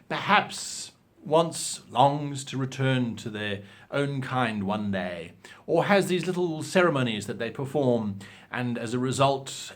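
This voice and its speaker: A man presents instructional advice as a show host, speaking straight to his viewers. His delivery is theatrical and emphatic, and his voice sits at a medium pitch for a man. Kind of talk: instructional talk to viewers with acted example lines.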